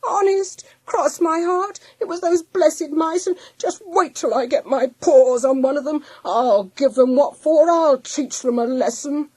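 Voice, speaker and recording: A woman voicing an excitable family dog in a storybook, blustering, yelping and making excuses for itself. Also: high-pitched, measured pace, few pauses, no room sound, pitched above the performer's own voice. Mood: whining, indignant. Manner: yelping, self-justifying. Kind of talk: storybook character voice.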